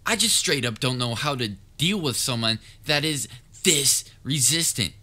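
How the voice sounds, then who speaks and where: A man's voice: medium pitch, expressive, a little slow, bright, forceful tone, full character voice. A man playing a patient, sometimes exasperated but caring young babysitter in a roleplay audio drama, talking in a casual, coaxing way. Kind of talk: roleplay audio drama, speaking directly to listener.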